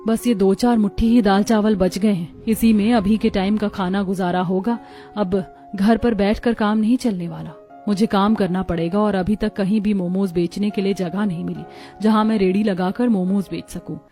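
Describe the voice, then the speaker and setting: Cartoon casting: female narrator as a hard-up, caring young housewife, determined and plaintive, mellow tone, music underneath. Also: medium pitch, steady tone, moderate pace, natural voice. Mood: worried, resolved. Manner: earnest, plaintive. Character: poor, determined, caring young daughter-in-law. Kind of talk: cartoon story acting.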